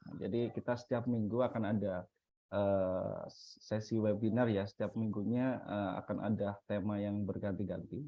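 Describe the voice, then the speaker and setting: A man's voice: fairly low pitch, steady tone, moderate pace, dry recording. A man hosting a webinar in a semi-formal introductory style. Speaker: webinar host. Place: online webinar call. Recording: dull, lo-fi online call audio.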